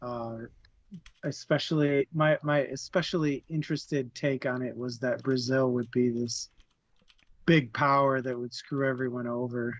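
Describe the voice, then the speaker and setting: A man's voice: medium pitch, level tone, measured pace, no room sound. Man on a dull lo-fi mic. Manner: laughs often, hesitant with fillers. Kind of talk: casual book discussion.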